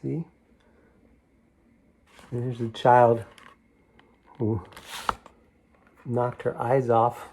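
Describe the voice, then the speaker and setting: A middle-aged man reads a children's picture book aloud at a slow, patient pace. He talks gently to young listeners and stops to show them the pictures. His voice sits at an ordinary pitch and moves a little closer to the camera mic now and then. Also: level tone, vocal fry, a little hiss or hum. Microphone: camera mic, moving toward it to show pages.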